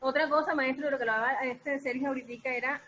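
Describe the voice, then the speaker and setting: A woman's voice: high voice, steady tone, fast talker, pushed and bright, muffled recording, slight background noise. A woman talking casually and expressively as an actress, searching for words and repeating herself. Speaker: radio theatre actress. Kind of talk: casual talk about creative process.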